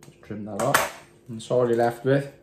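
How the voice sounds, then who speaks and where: Calm man explaining a fishing rig. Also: mid-range voice, moderate pace, background noise. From Wales. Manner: calm, plain, talking while tying knots. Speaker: angler demonstrating a rig. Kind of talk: casual step-by-step tutorial. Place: small indoor room with windows. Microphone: phone camera on the desk. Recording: echoey room.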